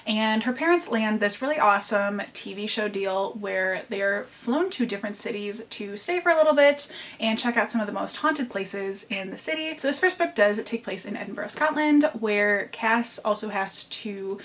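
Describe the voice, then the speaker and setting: A young woman with a nasal Midwestern American accent talks through her book picks at a medium pitch. She gushes warmly and doubles up words for emphasis, like a chatty book vlogger. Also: animated, moderate pace. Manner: gushing, emphatic repeats. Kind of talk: enthusiastic book recommendations.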